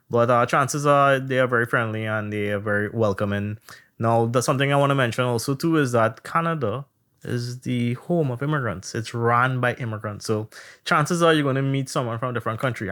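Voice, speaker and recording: Man, animated storyteller. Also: mid-range voice. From Trinidad and Tobago. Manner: animated storytelling, acts out others' questions. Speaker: podcast co-host. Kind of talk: casual chat.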